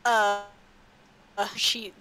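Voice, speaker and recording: A woman chatting and narrating as a tabletop game master, halting and repeating her words. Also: mid-range voice, level tone, pushed and bright. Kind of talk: casual improvised tabletop game narration and chat. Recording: slight background noise.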